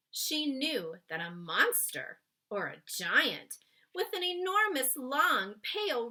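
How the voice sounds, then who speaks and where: A woman reading a children's story aloud in an expressive, suspenseful way, stretching key words for emphasis and chatting to young listeners. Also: a little high. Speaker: children's book read-aloud reader.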